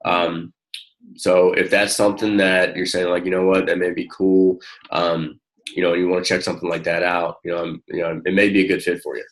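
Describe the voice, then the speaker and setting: A man speaking informally and encouragingly as a coach on a one-on-one call, often halting as he finds his words. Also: low voice, little pitch movement, low-quality recording. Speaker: network marketing coach.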